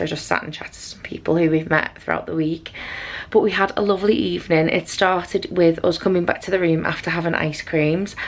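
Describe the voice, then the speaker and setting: Hoarse, low-voiced woman vlogging. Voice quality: raspy. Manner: chatty and rambling, with frequent fillers. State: hoarse, losing her voice. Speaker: travel vlogger. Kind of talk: casual vlog recap. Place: cabin on a cruise ship. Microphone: handheld compact camera.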